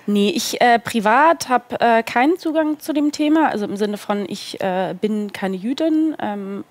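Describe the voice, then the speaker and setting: High-voiced woman, casual interview guest. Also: expressive, a little slow, no echo. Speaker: guest, film producer. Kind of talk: casual talk-show interview. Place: indoor talk-show studio. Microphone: headset microphone.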